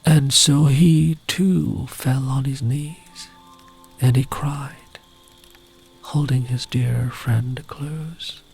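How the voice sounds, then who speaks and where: Male ASMR storyteller: slow, tender, breathy near-whisper, medium pitch, faint music underneath. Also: steady tone. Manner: soft-spoken, often breathy near-whisper; soothing, unhurried, tender. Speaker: ASMR voice performer telling a bedtime story.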